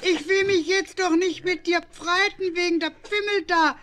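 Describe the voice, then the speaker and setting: An actor voicing an excitable, bossy young penguin in a children's audio play, lisping so that s turns to f. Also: extremely high pitch, moderate pace, crowd noise. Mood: squabbling, proud. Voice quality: lisping, sibilants turned into f sounds.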